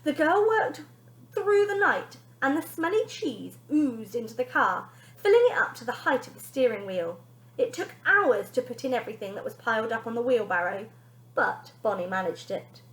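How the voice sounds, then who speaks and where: Animated woman reading a children's story. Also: a little high, moderate pace. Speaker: teacher. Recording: echoey room.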